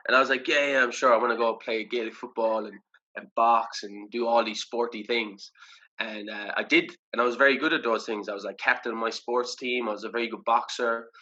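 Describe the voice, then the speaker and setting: Bright-voiced young Irish man, musing. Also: mid-range voice, steady tone, a little fast, lo-fi sound. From Ireland. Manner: reflective, loose, many fillers and restarts. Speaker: podcast guest. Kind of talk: casual interview chat.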